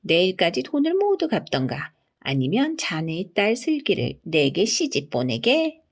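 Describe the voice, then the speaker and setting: Storybook villain, played by a female reader: greedy rich man, pompous, sly, demanding, with animated swings. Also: fairly low pitch, unhurried, full character voice. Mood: sly, threatening.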